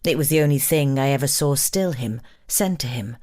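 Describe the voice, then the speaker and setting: A woman's voice: rumbling low, moderate pace, darkish tone. An actress playing a lovestruck, brazen married woman, giving an intimate, wistful dramatic reading of a forbidden romance. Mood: wistful, longing. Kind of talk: dramatic first-person audiobook-style reading.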